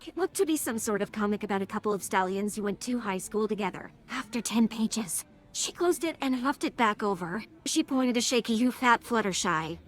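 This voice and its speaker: A woman's voice: mid-range voice, lively intonation, a little fast. A synthetic text-to-speech woman's voice narrating a scripted story. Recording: faint background noise.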